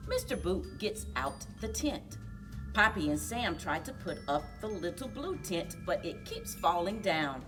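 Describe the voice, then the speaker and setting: A woman reading a children's story aloud in a deliberate, expressive way for young listeners, as a story time host. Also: lowish voice, recorded from a distance, over music.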